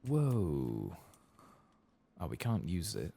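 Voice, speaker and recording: A man giving soft-spoken ASMR commentary as he plays a video game, casual and sweary, muttering to himself. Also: deep voice, huge pitch swings, very slow, vocal fry. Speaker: streamer playing a role-playing video game.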